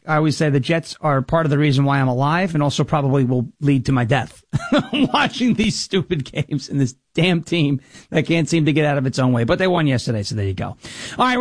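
An American man with a raspy voice from New York, hosting a talk radio show in an emphatic, jokey way. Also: a little high, no echo, clean studio sound. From New York, United States. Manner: emphatic, jokey, slips into impression bits. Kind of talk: political talk show monologue with comic bits.

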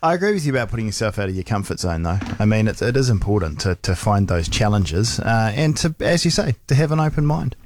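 Thoughtful low-voiced New co-host. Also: male voice, big pitch swings, quick pace, few pauses. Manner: thoughtful, agreeable. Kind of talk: casual radio talk. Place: radio studio.

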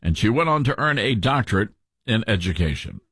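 A radio host with a mild Tennessee accent, reading news aloud and adding wry asides in casual chat. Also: male voice, on the low side, big pitch swings, brisk, fairly bright tone, husky, dry recording, clean studio sound. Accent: mild Southern US, Tennessee.

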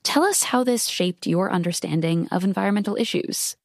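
A woman's voice: mid-range voice, fairly quick, vocal fry, slight background noise. A woman hosting an interview programme, reading scripted introductions and putting questions to a guest. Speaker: radio host and interviewer.

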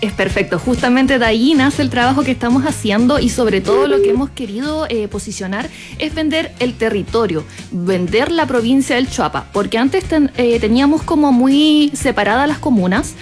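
A woman explains her program's work on the radio in a calm, steady way. Her voice is a touch high. Soft music plays faintly behind. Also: normal speed. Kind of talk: radio interview.

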